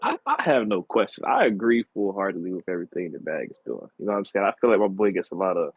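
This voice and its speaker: A man's voice: low-pitched, big pitch swings, fast talker, breathy edge, dry recording. A man chatting casually on a podcast panel, slangy and emphatic, often saying "bro", on a dull lo-fi mic. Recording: dull, muffled, dry lo-fi mic.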